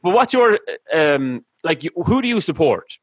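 A man's voice: fairly high pitch, big pitch swings, moderate pace, husky, no room sound. A man with an Irish accent, chatting on a podcast in a rambling way with lots of fillers. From Ireland. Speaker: podcast host. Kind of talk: casual chat.

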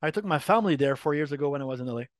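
A middle-aged French Canadian man, a native French speaker, answering interview questions in a candid, animated way. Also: on the high side, racing, no room sound. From Quebec, Canada. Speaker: guest, brand marketing head.

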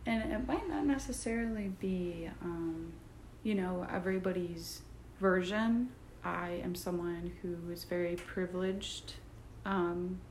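Young woman musing on a podcast, lower voice, bit of faint hiss behind. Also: a little mellow. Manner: reflective, thoughtful, with fillers. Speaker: podcast co-host. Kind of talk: casual podcast chat. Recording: echoey room with faint noise.

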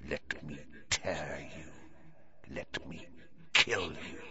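A man voicing a disembodied, ice-cold murderous creature in a slow, menacing, hissing whisper for an audiobook. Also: a bass voice, fairly even intonation, muffled recording, voice pushed down, heavily acted. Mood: venomous menace.

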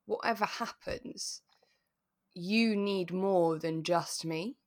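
A woman co-hosting a relaxed discussion podcast, retelling things expressively in a conversational way. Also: on the low side, takes it slowly. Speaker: podcast co-host. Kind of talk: relaxed chat reviewing a radio drama.

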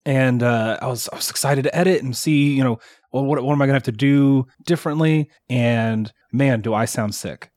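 A man's voice: mid-range voice, normal speed, a little mellow, no room sound, clean studio sound. A man co-hosting a casual podcast, recovering from a chest cold, rambling through a story and chuckling often. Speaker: podcast co-host. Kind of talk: casual chat.

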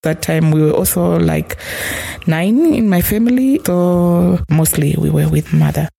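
A middle-aged woman from Zimbabwe with a Zimbabwean accent, telling her life story in an interview in a soft, halting, reflective way. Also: lowish voice, lively intonation, creaky, breathy edge. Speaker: children's home caregiver.

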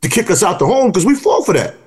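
A man's voice: high voice, very animated, quick pace, darkish tone. A man co-hosting a podcast, arguing his points firmly in casual conversation. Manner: argumentative, stresses points firmly. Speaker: podcast co-host.

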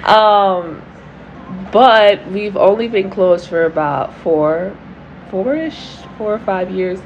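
A woman with a raspy voice co-hosting a podcast, talking in a loose, casual way about faith and friendship. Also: mid-range voice, dull audio, soft background music. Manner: unhurried, loose, lots of "like" and "you know". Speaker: podcast co-host. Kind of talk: casual faith testimony chat.

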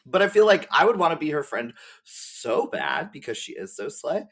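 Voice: mid-range voice, sing-song, normal speed, a bit bright. A podcast guest and streamer chatting casually in a friendly, gushing, slangy way. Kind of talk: casual chat.

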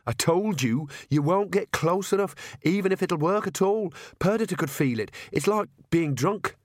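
A man's voice: sits high, animated, normal speed, dry recording, studio quality, pitched above the performer's own voice. A man voicing an uneasy young woman, timidly insistent, in an audiobook story. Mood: doubtful.

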